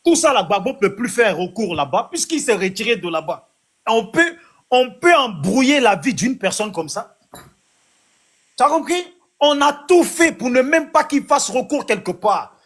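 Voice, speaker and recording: A man's voice: extremely high pitch, sing-song, normal speed, fairly bright tone. A man in a heated online debate, rambling and flustered, often repeating himself. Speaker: debate participant.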